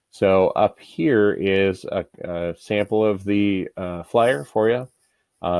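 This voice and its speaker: A man's voice: low-pitched, a little mellow, no room sound. A man with an American accent walking through an on-screen tutorial step by step in an informal, instructional way. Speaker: real estate broker giving a software tutorial. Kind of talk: casual screen-share tutorial.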